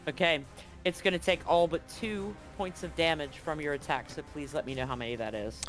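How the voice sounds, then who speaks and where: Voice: mid-range voice, fairly quick, fairly bright tone, soft background music. A game master narrating a live-streamed tabletop roleplaying game in an informal way, with casual swearing.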